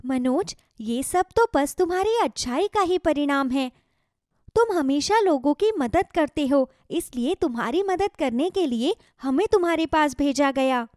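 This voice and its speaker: A woman's voice: sits high, animated, a little slow, darkish tone, no room sound, studio quality. A woman voicing kindly, benevolent magical talking plants that grant wishes and give advice in a children's cartoon story. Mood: kindly, admonishing. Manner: benevolent, solemnly advising, speaks as "we".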